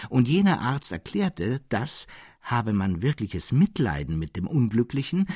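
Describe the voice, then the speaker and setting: Expressive male narrator, lively pitch, slightly husky. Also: dry recording, studio quality. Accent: standard German. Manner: expressive, carefully phrased storytelling. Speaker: audiobook narrator. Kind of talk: literary story reading for radio.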